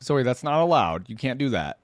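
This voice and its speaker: A man's voice: medium pitch, big pitch swings, a little fast, soft background music. A man giving casual, chatty commentary as a reaction video host, easily amused and hedging as he goes.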